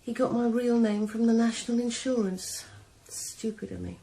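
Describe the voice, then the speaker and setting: Cool calm young killer in a radio play, dark mellow voice, slow and even. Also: female voice, on the high side, gravelly, a little hiss or hum. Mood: cold confessing. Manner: calm, measured, quietly cold. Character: cold young woman killer confessing revenge. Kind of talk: 1980s radio drama acting. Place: sauna.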